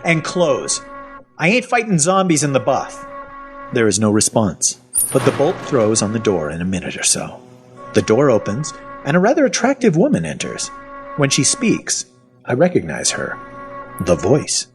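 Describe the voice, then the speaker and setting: A sly, zombie-like prisoner telling his own story in an audio drama. His folksy voice leaps dramatically up and down in pitch. Music plays underneath. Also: wildly swooping pitch, moderate pace. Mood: wry. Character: captive zombie-like man, sly, pleading, folksy. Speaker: narrator and lead character. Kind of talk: first-person audio fiction narration. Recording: music behind the voice.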